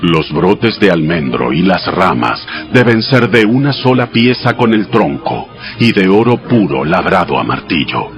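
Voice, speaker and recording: A man playing God in a dramatized audio Bible, giving exact instructions in a solemn, measured, commanding manner. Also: low-pitched, expressive, highs cut off. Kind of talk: dramatized scripture reading. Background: music behind the voice.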